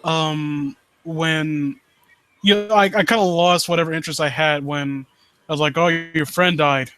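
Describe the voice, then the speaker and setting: A man hosting a live stream, reading and commenting on stories in a halting, rambling way and often rephrasing himself. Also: on the high side, fairly even intonation, a bit bright. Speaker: live stream host reading and critiquing amateur horror stories. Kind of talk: story read-aloud and casual commentary.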